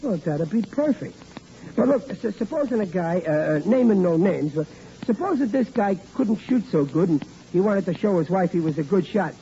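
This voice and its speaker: An actor playing a blundering, boastful, stubborn husband and dad in a 1940s radio sitcom, blustery and stammering. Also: male voice, high-pitched, expressive, normal speed, warm tone. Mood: eager, scheming. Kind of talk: 1940s radio comedy acting. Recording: muffled old radio recording, faint noise.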